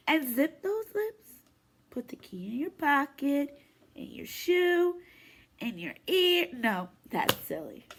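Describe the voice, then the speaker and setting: A woman preschool teacher reading a picture book aloud to young children in an expressive, sing-song way. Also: high-pitched. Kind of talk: children's story time read-aloud.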